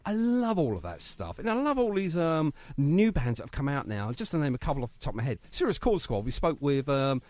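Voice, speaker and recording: A man's voice: on the high side, very animated, fairly quick, few pauses, darkish tone, no room sound. A man hosting a radio show in casual interview chat, talking in a chatty, enthusiastic and effusive manner.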